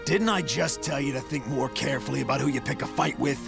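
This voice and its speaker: A man voicing a gruffly kind, hard-drinking martial arts master in a video game, casual and grumbling. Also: medium pitch, quick pace, loud music behind the voice. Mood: weary scolding. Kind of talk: video game cutscene acting.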